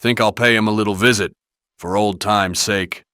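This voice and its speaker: A man's voice: on the low side, steady tone, moderate pace, bright, forceful tone, no echo, studio quality. A man voicing a cocky, streetwise hero in a plain-spoken game monologue. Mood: wry resolve. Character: cocky, streetwise male hero recalling his past. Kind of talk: fan-made game character monologue.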